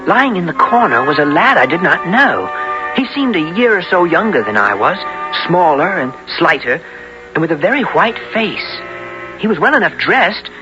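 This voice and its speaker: An actor playing an earnest, questioning orphaned youth who narrates his adventure in formal archaic speech, in a 1970s radio drama. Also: a little high. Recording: dull vintage radio broadcast, music behind.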